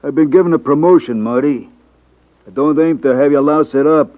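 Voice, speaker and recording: A man's voice: on the high side, close to an everyday voice. An actor playing a jeering, slangy hoodlum henchman with menacing good cheer in a 1950s radio drama. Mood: taunting, jovial menace. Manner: slangy, taunting, drawn-out. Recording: tinny band-limited 1950s radio recording.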